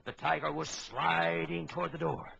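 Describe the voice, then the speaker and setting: A man's voice: a little high, flat delivery, normal speed, vocal fry, heavy background noise. A man playing an authoritative ship's captain who narrates a suspenseful animal adventure for a children's story record. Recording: old lo-fi children's record, music behind.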